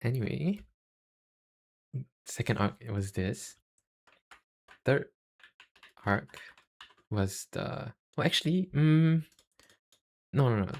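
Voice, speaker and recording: A young man with a Singaporean accent chatting casually on a livestream, halting and self-deprecating, speaking into a cheap USB microphone. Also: mid-range voice, animated, creaky. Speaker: YouTuber and live streamer.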